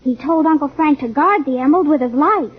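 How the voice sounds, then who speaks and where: A woman's voice: high-pitched, a little fast, lightly acted. An actress playing a spirited, outspoken teenage girl in an old-time radio adventure, girlish and emphatic. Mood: suspicious, indignant. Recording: muffled vintage radio recording.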